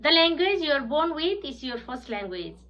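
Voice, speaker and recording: A woman in her thirties from southern Bhutan with a Nepali accent, speaking English as a second language in an earnest, halting personal presentation, often pausing to search for words. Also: high-pitched, big pitch swings, a bit bright. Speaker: student presenter. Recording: muffled lo-fi recording.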